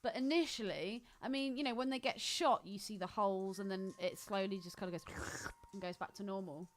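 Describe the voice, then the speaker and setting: A woman's voice: mid-range voice, fairly quick, a little hiss or hum. A woman co-hosting a podcast, bantering informally about films in a teasing, opinionated way. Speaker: podcast co-host. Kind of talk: casual film banter.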